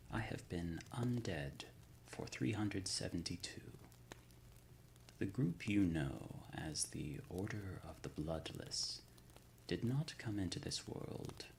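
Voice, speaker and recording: An ancient undead lord of a secret order , played by a man, speaks very slowly in a low, creaking half-whisper. His diction is formal and old-fashioned, solemn and brooding, in the manner of an intimate ASMR roleplay. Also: slight background noise, heavily acted, rough recording. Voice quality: breathy near-whisper with gravelly creak.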